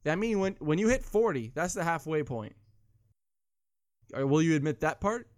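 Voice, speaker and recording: Animated young man, higher-pitched, blunt. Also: moderate pace, no echo, clean studio sound. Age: 20s. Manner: blunt, argumentative, profane. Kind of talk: casual banter among friends.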